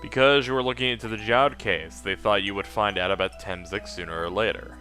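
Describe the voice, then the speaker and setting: Man playing a brooding, bright-edged ghostly schemer. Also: lowish voice, fairly quick. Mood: grim. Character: bitter, resigned male ghost schemer confessing his plot. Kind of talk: game dialogue read-aloud in a let's play. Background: game music.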